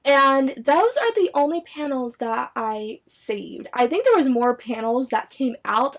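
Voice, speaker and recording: A woman's voice: on the high side, vocal fry. A woman in her twenties chatting casually and enthusiastically to viewers like a gushing fan sharing news. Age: 20s. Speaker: anime and book video creator.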